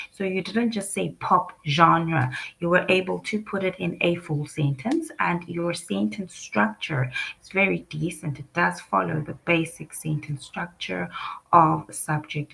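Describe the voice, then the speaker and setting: A woman's voice: fairly low pitch, vocal fry, fairly bright tone, rough recording. A woman teaching an online English class over a video call, encouraging and giving detailed feedback.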